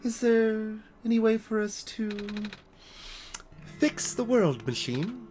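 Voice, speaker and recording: A let's player voicing an innocent, curious child hero from a video game, with halting, naive questions. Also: medium pitch, sing-song, darkish tone. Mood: puzzled, curious. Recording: music behind the voice.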